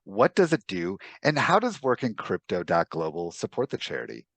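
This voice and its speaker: A man hosting a podcast interview, talking conversationally in a measured way and summing up points in lists. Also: on the low side, huge pitch swings, gravelly, a bit bright, no room sound. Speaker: podcast host.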